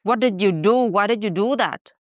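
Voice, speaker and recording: A woman's voice: mid-range voice, normal speed, no room sound, studio quality. A young woman from Vietnam with a Vietnamese accent, hosting a podcast and asking questions in a playful, teasing way. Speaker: podcast host. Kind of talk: casual chat.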